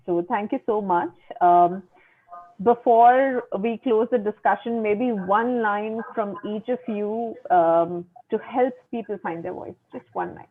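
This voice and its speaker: A woman's voice: medium pitch, unhurried. A woman moderating an online panel, who speaks encouragingly and repeats her words. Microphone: video call. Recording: tinny phone-like video call line.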